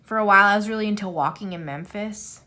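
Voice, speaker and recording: A woman's voice: medium pitch, quick pace, bright, forceful tone. A woman in her thirties chatting casually to camera, rambling and self-deprecating, with many fillers. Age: 30s. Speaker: YouTuber answering a tag questionnaire. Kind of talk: casual chat while coloring.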